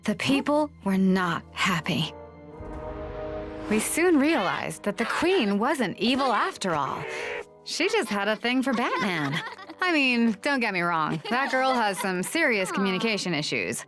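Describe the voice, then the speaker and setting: A woman playing a sassy, confident hero who narrates an animated game in a wry, conversational way with playful asides. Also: mid-range voice, measured pace, over music. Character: sassy, confident female hero recapping the adventure. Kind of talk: conversational scripted cutscene narration.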